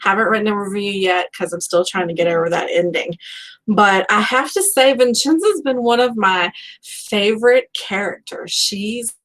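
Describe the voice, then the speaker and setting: A woman hosting a casual live book chat, talking like an animated, gushing fan and acting out her reactions. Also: mid-range voice, moderate pace, low-quality recording. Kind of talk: casual chatty author interview.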